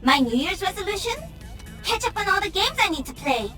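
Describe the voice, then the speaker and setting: A woman's voice: very high voice, sing-song, fairly quick, bright, forceful tone, exaggerated voice. A woman playing a perky young professional gamer in a video game. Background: music.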